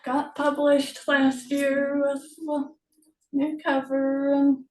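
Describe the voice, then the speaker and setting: A woman chatting casually as host of an online book promotion, hesitant and halting, often trailing off. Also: sits high, completely flat delivery. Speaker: author hosting a promotional chat. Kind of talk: casual video chat. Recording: echoey room, distant from mic.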